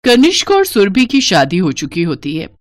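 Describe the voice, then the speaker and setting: A woman's voice: mid-range voice, huge pitch swings, normal speed, no room sound. A woman narrating a scripted family story in a dramatic storytelling style.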